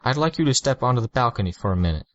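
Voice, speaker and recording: A formal middle-aged caseworker woman voiced by a man reading aloud, polite and measured in every phrase. She sits well above his natural low voice. The sound is dry and slightly muffled. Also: lively intonation, fairly bright tone, dry recording, natural voice.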